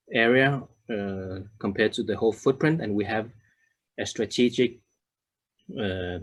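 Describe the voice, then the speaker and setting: Man in his thirties, lowish voice, conference talk, halting with fillers and restarts. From Denmark. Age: 30s. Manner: hesitant, many fillers and restarts. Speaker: conference speaker. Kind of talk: presentation to a web audience.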